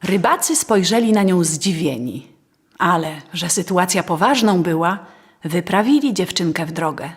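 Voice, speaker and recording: A woman's voice: on the low side, lively intonation, normal speed. A woman narrating a scripted fairy tale as a storyteller. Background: faint music.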